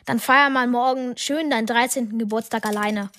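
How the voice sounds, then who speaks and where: Woman playing a reproachful, high-voiced young teenager. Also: steady tone, normal speed, fairly bright tone, natural voice. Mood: hurt, bitter. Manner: insistent, reproachful. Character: hurt, accusing young teenage friend. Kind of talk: radio play acting.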